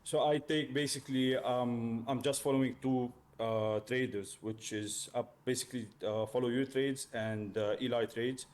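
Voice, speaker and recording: A man from Lebanon, speaking haltingly and casually as a learner in a mentoring chat. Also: mid-range voice, fairly even intonation, darkish tone. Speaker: learner trader, mentee.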